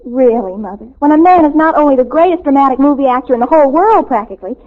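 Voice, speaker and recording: A tinny old radio recording carries a high, girlish voice. It is a starstruck, self-important teenage girl in a radio comedy, speaking in lofty, melodramatic phrases with breathless exclamations. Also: animated, fast talker. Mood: lofty, indignant. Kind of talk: old-time radio sitcom acting.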